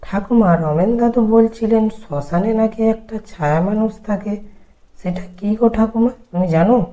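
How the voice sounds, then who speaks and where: A woman's voice: medium pitch, normal speed, warm tone, lo-fi sound, close to an everyday voice. A woman voicing a curious, eager young village boy in a horror cartoon.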